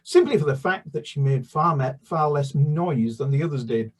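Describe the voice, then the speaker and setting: A British children's author talking about his books with many restarts, then reading a chapter aloud. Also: a little high. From United Kingdom. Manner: halting, many restarts and fillers, then steady narration. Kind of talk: book talk and read-aloud.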